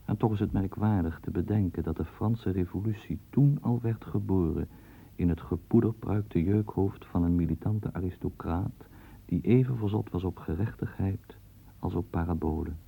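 A man narrating a historical radio program in a measured, ironic storytelling manner. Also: low-pitched, gravelly, warm tone, slightly rough. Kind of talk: scripted documentary narration. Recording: tinny phone-like old broadcast.

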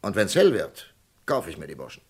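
A commanding FBI captain with a gravelly voice. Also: male voice, deep voice, wildly swooping pitch, exaggerated voice. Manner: commanding, brisk. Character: tough confident male FBI captain. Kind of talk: vintage radio drama acting.

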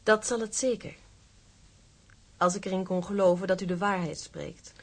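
An actress playing a cool, haughty young fiancée in a radio drama, polite, composed and condescending. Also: female voice, medium pitch, normal speed, lightly acted. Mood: cool, amused.

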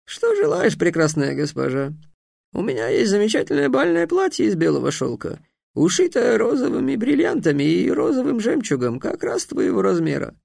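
A boastful young genie, all sly wheedling and high squeals, his pitch leaping up and down, as a male narrator puts him on. He is slippery and proud, always hunting for a loophole. The recording is dry and close. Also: wildly swooping pitch, moderate pace, gravelly, darkish tone, no room sound, exaggerated voice. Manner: wheedling, tricky, squealing when scared.

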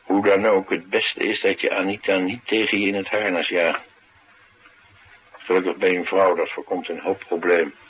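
An actor playing a streetwise, talkative driver, confiding and musing in a colloquial radio drama style. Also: male voice, low voice, level tone, moderate pace, highs cut off, natural voice. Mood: wry, knowing. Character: streetwise, talkative male driver, wry and brooding. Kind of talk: naturalistic radio drama acting. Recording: noisy radio drama recording.

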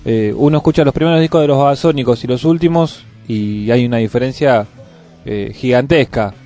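A man's voice: mid-range voice, brisk, mellow. A man with an Argentine accent hosting a radio music show, commenting in a conversational way. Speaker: radio host.

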